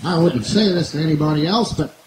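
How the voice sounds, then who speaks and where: A man's voice: a little high, fast, a little mellow, lightly acted. A man playing a boastful, slick schemer boyfriend in an old-time radio comedy performed live. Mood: self-pitying, grandiose. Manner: boastful, slick, self-pitying patter. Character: cocky small-time schemer boyfriend, big-talking hustler. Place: outdoor woodland stage. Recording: echoey live outdoor recording, faint noise.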